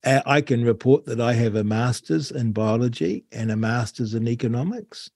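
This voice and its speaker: A man's voice: mid-range voice, moderate pace, darkish tone, dry recording, clean studio sound. A New man hosting an interview, talking casually and wryly, stretching words for emphasis. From New Zealand. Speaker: host.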